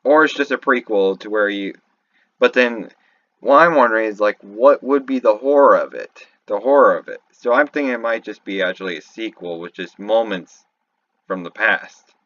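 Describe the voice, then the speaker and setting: A man giving casual, off-the-cuff game commentary, halting and thinking aloud as he goes. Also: fairly low pitch, expressive, a bit bright, muffled recording. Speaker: YouTube commentator.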